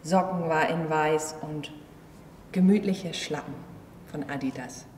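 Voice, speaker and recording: A woman's voice: low voice, slow pace, a little mellow. A woman of thirty-one introduces herself casually in an interview. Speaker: choreographer interviewee. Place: large empty theatre venue. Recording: echoey hall, distant mic, faint noise.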